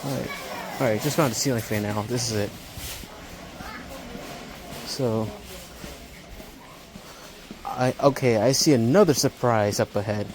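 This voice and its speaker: A man with a mid-pitched voice narrates a casual walk-through vlog. He speaks haltingly, like an enthusiast absorbed in what he is looking at. He is recorded on a handheld phone inside a big store, with some noise around him. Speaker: amateur vlogger. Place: large home improvement store, indoors. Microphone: handheld phone while walking. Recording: store ambience, noticeable background noise.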